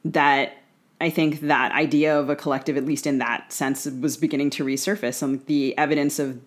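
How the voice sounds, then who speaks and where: A woman's voice: low voice, fairly even intonation, moderate pace. An American woman co-hosting a conversational discussion, talking discursively with many asides as she works toward questions. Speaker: podcast co-host, interviewer.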